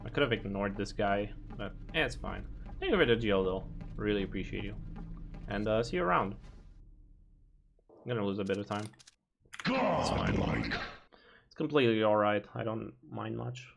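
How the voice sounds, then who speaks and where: A man's voice: on the low side, lively intonation, normal speed, gravelly, pauses often, dull audio, noisy background. A Romanian man who sounds American, casually commentating his speedrun and chatting with viewers, easily exasperated. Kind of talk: casual live commentary over gameplay.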